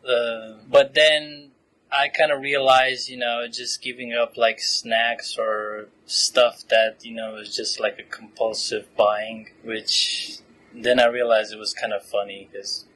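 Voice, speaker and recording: A man in his late twenties from Romania, a graduate student answering interview questions in a relaxed, chatty way with frequent fillers and laughs. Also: medium pitch, takes it slowly, rough recording. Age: 20s. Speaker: podcast guest, PhD student.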